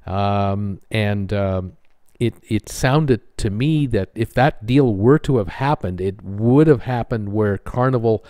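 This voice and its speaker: Low-voiced North American man giving news. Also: slow pace, a little mellow, dry recording, studio quality. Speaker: host of a cruise news channel. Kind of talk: informal news commentary.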